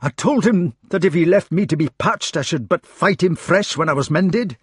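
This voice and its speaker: A man's voice: on the high side, expressive, normal speed, husky, no room sound, studio quality, pitched above the performer's own voice. A man voicing a wounded, tearful Scottish knight who speaks in strained, despairing tones. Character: wounded, weeping burly male Scottish knight.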